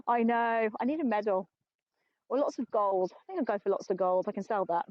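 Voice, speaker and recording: A woman's voice: on the high side, animated, measured pace, dry recording. A middle-aged English woman guiding a live walking tour outdoors, chatty and self-mocking, laughing often and sounding tired. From England. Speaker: tour guide. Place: outdoors on an open plateau. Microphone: phone. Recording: dull lo-fi phone stream.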